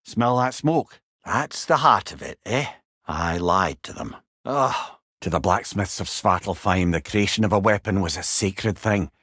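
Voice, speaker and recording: Old Scottish sage, lively swooping intonation, wry, eloquent, rueful, video game companion, very dry close sound. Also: male voice, low voice, measured pace, no echo, full character voice. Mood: grave, rueful. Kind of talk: video game character dialogue.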